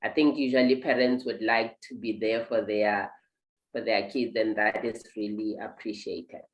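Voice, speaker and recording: A woman hosting an online interview, talking at length and gently encouraging her guest. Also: rumbling low, steady tone, normal speed, echoey room, dull audio. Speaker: host.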